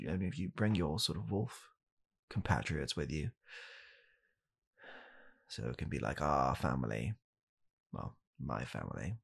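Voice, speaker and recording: Young british guy doing deadpan asmr as a bitter tired dad, super low husky voice, halting and quiet. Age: young adult. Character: bitter, weary father coldly blaming his young child. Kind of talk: deadpan comedic ASMR roleplay monologue. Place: small home room, indoors.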